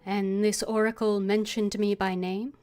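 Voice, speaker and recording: A woman's voice: medium pitch, flat delivery, measured pace, a little mellow. A woman streams a video game in a mid-range voice. She reads dialogue options aloud and adds casual commentary as she plays.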